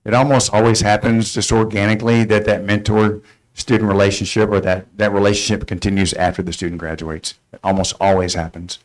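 A man's voice: lowish voice, flat delivery, brisk, low-quality recording. A middle-aged man answering questions informally as a presenter for a student mentoring program. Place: school board meeting room.

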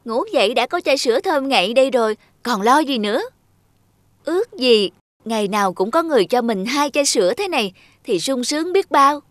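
A woman voicing a lazy, daydreaming young milk seller with a dreamy, excitable manner in a children's cartoon. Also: on the high side, fairly quick, no echo, clean studio sound, natural voice. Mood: dreamy, content.

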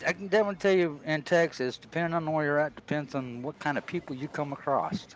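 Blunt, fairly high-voiced man on a call. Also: steady tone, fast talker, slight background noise. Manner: blunt, repeats words, plain-spoken. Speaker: caller on a panel. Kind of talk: casual chat on a video call.

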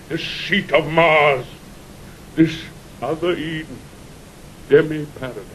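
A man's voice: a bit bright, low-quality recording. An actor playing a dying old nobleman, solemn and prophetic, declaiming weighty Shakespearean verse in an audio drama. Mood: fervent. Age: older. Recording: vintage 1960 recording with faint rumble.

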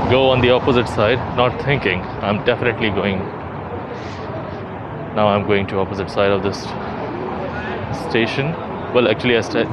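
A young man with a fairly low voice talks informally to his viewers as he walks. He thinks aloud in the style of a casual tour vlog. The recording is outdoors, with heavy street and traffic noise around his voice. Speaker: vlogger. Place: outdoors on city streets while walking. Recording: noisy city street, traffic ambience.

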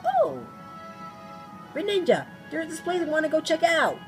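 A woman's voice: sits high, big pitch swings, normal speed, over music, pitched above the performer's own voice. A woman voicing a panicky, pleading young video game character in a frantic, squealing, melodramatic way.

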